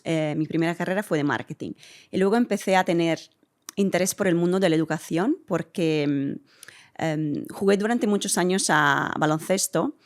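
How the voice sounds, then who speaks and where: Woman from Italy, interview guest, lowish voice, thoughtful, pauses to search for words. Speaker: interview guest, community center director. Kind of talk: conversational interview answers.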